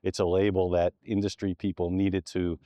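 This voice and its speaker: A man answering interview questions thoughtfully, pausing often with ums. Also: low voice, little pitch movement, dark tone, no echo.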